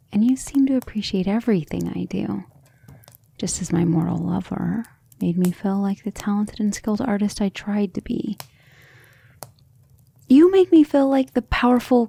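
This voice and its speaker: A woman playing a centuries-old vampire lover in an intimate ASMR roleplay, breathy and often near a whisper, speaking with refined, devoted affection. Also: mid-range voice, mellow. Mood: adoring, wistful. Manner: affectionate, refined, hesitant musing.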